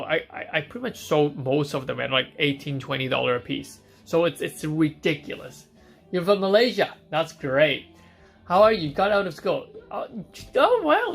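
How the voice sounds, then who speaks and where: A man's voice: on the high side, a bit bright. A man from Hong Kong hosting a casual live stream, reading out viewer questions and answering them on the spot.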